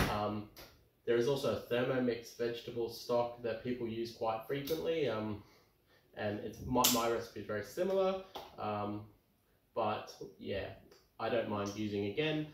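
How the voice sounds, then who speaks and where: Australian man, 30s, croaky, chatty home cook, recorded from across a roomy kitchen. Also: mid-range voice, noisy background. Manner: chatty, upbeat, lots of ums. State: croaky from tonsillitis. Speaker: home cooking show host. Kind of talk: casual cooking demonstration. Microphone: camera across the kitchen. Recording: roomy kitchen sound, far from mic.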